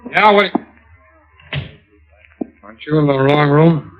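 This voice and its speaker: An actor playing a brusque, dismissive gangster club boss with tough slangy talk in an old radio noir drama. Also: male voice, a little high, slow. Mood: brusque, guarded. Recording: muffled vintage radio recording.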